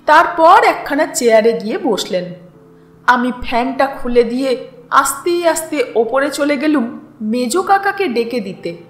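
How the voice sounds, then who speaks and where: A woman narrates a literary story. Her voice is high and very animated, and she takes her time with each phrase. She is recorded in an echoey space with music underneath. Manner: unhurried, dramatized reading. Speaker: story reader and channel host. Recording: roomy echo with music underneath.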